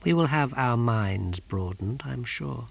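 Sinister male inquisitor, played by a narrator: low-volume, slow, measured, icily polite and pious storybook villain. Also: expressive, vocal fry, exaggerated voice. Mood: icily courteous. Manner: hushed, measured, menacingly courteous.

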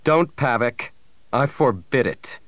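A man's voice: medium pitch, animated, slow, fairly bright tone, dry recording, pitched above the performer's own voice. A man voicing a stern, fair-minded older father who speaks with firm command in a story.

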